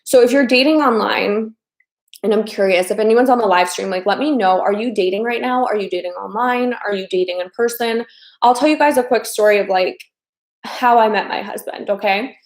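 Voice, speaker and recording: A woman's voice: medium pitch, a little fast, roomy sound. A chatty woman in her thirties from the American Midwest, hosting a casual live stream as a dating coach and often repeating her phrases. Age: 30s.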